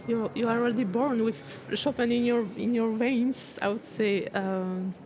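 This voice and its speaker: A woman's voice: on the high side, fairly even intonation, measured pace, a little mellow. Composed young woman with a Polish accent. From Poland. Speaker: pianist guest. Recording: crowd murmur behind.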